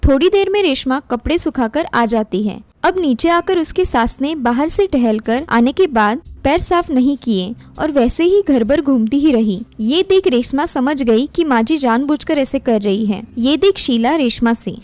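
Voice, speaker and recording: A woman's voice: high voice, lively intonation, unhurried, hardly any pauses, a little mellow. A woman reading a scripted moral story as its narrator.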